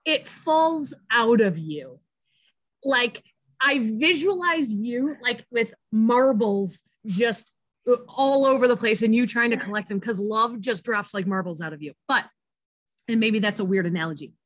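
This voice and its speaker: A woman hosts an interview series over a video call, chatty and playful, gushing over her guest. Her voice sits a little high and stays bright and conversational. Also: animated, a little slow. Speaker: interview host. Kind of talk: casual interview chat.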